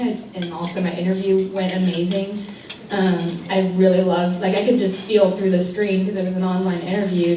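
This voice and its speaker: A young woman from Texas, a physical therapy student on a luncheon panel, chatty and quick to apologize. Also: mid-range voice, mellow, slight background noise. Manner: chatty, apologetic about a cutting mic, laughs often. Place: large banquet hall. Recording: echoey banquet hall, distant mic, dish clatter. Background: cutlery and dishes clinking.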